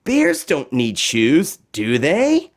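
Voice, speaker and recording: A man's voice: a little high, huge pitch swings, drawn-out words, pitched above the performer's own voice, heavily acted. A man voicing a friendly, apologetic talking bear in a storybook. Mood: sheepish explaining. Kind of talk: storybook character voice.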